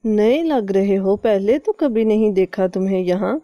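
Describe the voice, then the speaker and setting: A woman's voice: mid-range voice, measured pace, dark tone, no echo, lo-fi sound, close to an everyday voice. A woman voicing a helpful, gullible male crow in a cartoon, with a trusting, conversational manner. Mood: curious.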